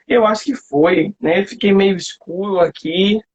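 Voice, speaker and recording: A man's voice: high-pitched, measured pace, darkish tone. A man from Salvador with a Bahian accent hosting a casual, colloquial live chat online. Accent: Bahian Brazilian Portuguese. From Salvador, Bahia, Brazil. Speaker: host, literature teacher. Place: online video live, remote call. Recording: dull lo-fi livestream audio.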